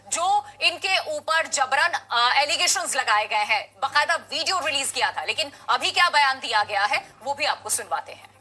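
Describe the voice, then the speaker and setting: A woman's voice: sits high, bright, forceful tone. An Indian woman presenting the news live as an anchor.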